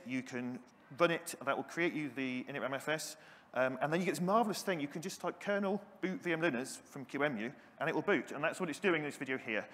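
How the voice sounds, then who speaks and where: A man's voice: a little high, a bit bright. A middle-aged man giving an enthusiastic, self-deprecating technical keynote on a conference stage, with frequent ums. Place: large conference hall stage. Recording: hall with long reverb.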